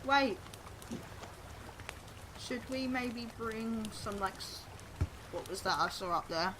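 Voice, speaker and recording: A woman chatting in a relaxed, dry way while co-hosting a video game playthrough at home. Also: on the high side, slow, heavy background noise. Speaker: gaming co-host. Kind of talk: casual let's-play chat.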